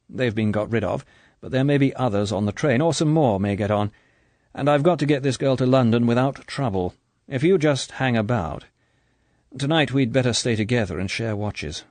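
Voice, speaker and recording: A man reading as a brisk confident spy. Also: medium pitch, a little mellow, no room sound, close to an everyday voice. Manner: brisk, businesslike. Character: brisk, confident male secret agent. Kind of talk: audiobook character voice.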